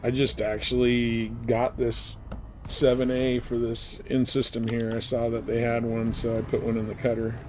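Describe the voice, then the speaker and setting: A man's voice: mid-range voice, completely flat delivery, moderate pace, slight background noise. A man chatting casually and informally as he streams a game. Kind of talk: casual chat while playing a space game live stream.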